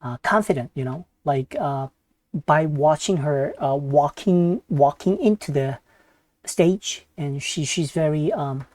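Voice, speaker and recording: A Korean woman with a Korean accent, hosting a reaction video in halting English that slips into Korean when she searches for words. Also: sits low, warm tone, slight background noise. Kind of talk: casual reaction commentary.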